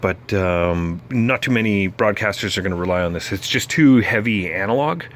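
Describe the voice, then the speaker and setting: A man giving a casual, unscripted technical walkthrough, halting and thinking aloud as he explains. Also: fairly low pitch, a bit bright. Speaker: presenter explaining vintage broadcast gear.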